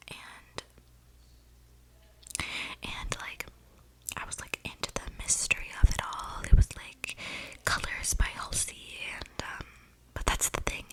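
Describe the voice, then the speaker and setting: Casting: eccentric young vampire gal a woman acting, all breathy whisper, gossipy and flippant ASMR roleplay, rambling close on the mic. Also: lightly acted, poor audio. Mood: gossipy, bemused. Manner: rambling, gossipy, slangy, dramatic. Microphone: close mic picking up breathing.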